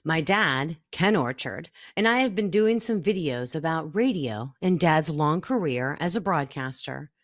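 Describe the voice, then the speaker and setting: A woman's voice: on the low side, no room sound, clean studio sound. A middle-aged American woman reading a scripted personal narration as a former broadcaster.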